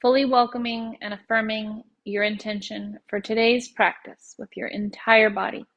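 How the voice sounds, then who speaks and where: A woman leading a guided meditation as a yoga nidra teacher, speaking in slow, unhurried phrases with gentle pauses. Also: fairly high pitch, flat delivery, highs cut off.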